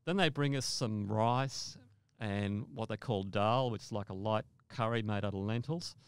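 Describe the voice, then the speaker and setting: A man's voice: lowish voice, normal speed, clear, resonant tone, dry recording. An older man telling a first-person story conversationally as a podcast guest, often repeating and rephrasing his words.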